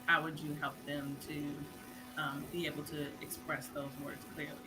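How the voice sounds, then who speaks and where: Woman, low-pitched, host, reads out questions, electrical hum. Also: little pitch movement. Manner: brisk, reads out viewer questions. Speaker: interview host. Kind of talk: live online interview, reading questions.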